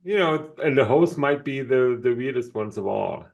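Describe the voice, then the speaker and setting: Gravelly male co-host searching for words. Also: mid-range voice, expressive, normal speed, muffled recording. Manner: searches for words, many fillers. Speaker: podcast co-host. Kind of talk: casual podcast chat.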